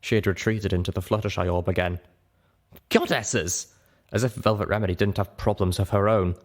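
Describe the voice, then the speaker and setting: A man narrating a story in the first person with an expressive, theatrical, dramatic delivery. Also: fairly low pitch, a little fast. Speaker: audiobook narrator.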